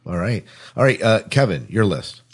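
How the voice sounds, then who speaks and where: A male podcast host with a deep, husky voice. His pitch moves around a lot as he steers a casual panel conversation. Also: animated, moderate pace.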